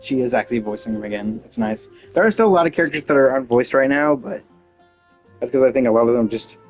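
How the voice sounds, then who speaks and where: A man's voice: medium pitch, animated, fast, soft background music. A man giving casual game playthrough commentary as a streamer, musing and thinking aloud.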